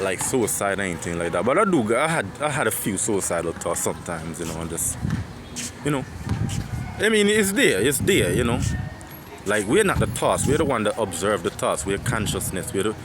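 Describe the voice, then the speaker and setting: A man in his twenties with an Antiguan accent and a deep voice, rambling and reflective in a casual personal monologue, often repeating himself and swearing. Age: 20s. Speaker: vlogger. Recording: loud outdoor street noise.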